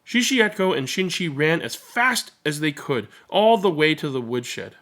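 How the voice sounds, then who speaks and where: A man's voice: fairly high pitch, big pitch swings, measured pace, fairly bright tone. A man who reads children's stories aloud and talks casually as host. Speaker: storybook reader and channel host. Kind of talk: children's read-aloud narration with casual commentary.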